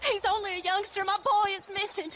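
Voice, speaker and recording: A woman's voice: very high voice, flat delivery, brisk, a bit bright, slight background noise. A woman playing a distraught frontier townswoman in a game, emotional and pleading. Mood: desperate pleading. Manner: plaintive, emotional. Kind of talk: dramatic game cutscene acting.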